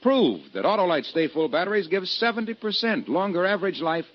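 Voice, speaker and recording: A man playing an eager, relentless pitchman, giving emphatic salesman patter in a vintage radio advertisement. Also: expressive, fairly quick, slight background noise. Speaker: commercial announcer. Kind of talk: 1940s-50s scripted radio advertisement banter. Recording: muffled vintage radio recording.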